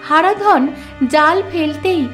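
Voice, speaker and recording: A woman narrates a children's moral story in scripted style. Her voice is high, and music plays clearly behind her. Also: slow, a bit bright.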